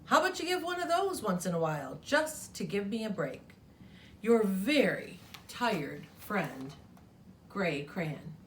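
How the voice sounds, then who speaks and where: A woman reads as a worn-out gray crayon, pleading for smaller things to color. The delivery is slow and the pitch moves a lot. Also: very animated, a little hiss or hum. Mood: weary. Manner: weary, pleading. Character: exhausted, put-upon gray crayon.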